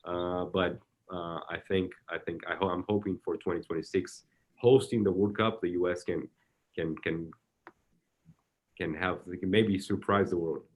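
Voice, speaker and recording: A man whose first language is Portuguese speaks English with a Brazilian accent, his voice sitting very low. His delivery stops and restarts: he repeats small words again and again before the thought comes out. It sounds like relaxed interview talk from a soccer executive. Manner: halting, repeats words often while searching for them. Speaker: soccer technical director. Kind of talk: casual interview answers.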